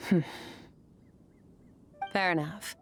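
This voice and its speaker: A woman playing an aloof, stoic young warrior woman in an anime game, speaking in a cool, blunt, deadpan way. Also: on the low side, drawn-out words. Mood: matter-of-fact. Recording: music behind the voice. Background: background music.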